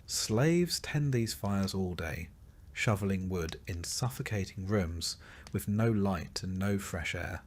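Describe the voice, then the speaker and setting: Slow, low-voiced male history narrator. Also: lively intonation. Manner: measured, descriptive second-person storytelling. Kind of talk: scripted long-form history narration, sleep story.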